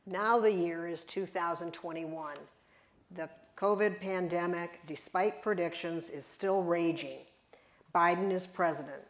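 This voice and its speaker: A woman's voice: lowish voice, a little slow. An older woman narrating a play, reading out scene settings and stage directions. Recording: echoey room.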